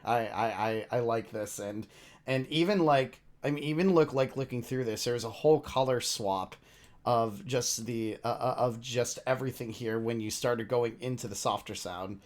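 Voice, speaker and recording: Male reaction host, medium pitch. Casual, rambling, openly gushing and excitable commentary. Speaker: reaction video host, VTuber.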